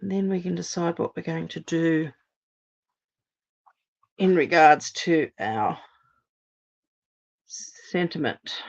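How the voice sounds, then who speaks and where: A woman from Australia, a little hoarse after laryngitis, giving a chatty step-by-step live card-making demonstration at her craft table. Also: deep voice, slow, pauses often, dull audio. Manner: chatty, steady step-by-step instructions.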